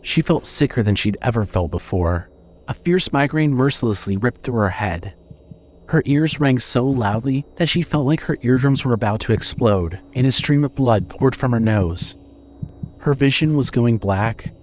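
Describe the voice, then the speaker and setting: A man narrating scripted horror fiction in a measured, dramatic storytelling manner. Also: medium pitch, animated, a little mellow, a little hiss or hum, poor audio.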